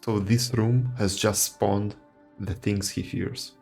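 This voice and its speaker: A woman with an extremely low voice streams a game. Her delivery is casual and unhurried, with plenty of gravelly fry. Game music plays faintly behind her. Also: steady tone, darkish tone. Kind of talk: casual live commentary.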